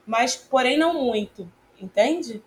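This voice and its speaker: A woman, giving a reflective interview answer and often pausing and repeating words as she thinks. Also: fairly high pitch, animated. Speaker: community church member.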